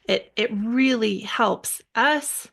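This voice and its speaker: A middle-aged woman from the United States, a guest in an interview, explaining things in a steady way with a few restarts. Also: a little high, takes it slowly, creaky. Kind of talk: casual interview chat.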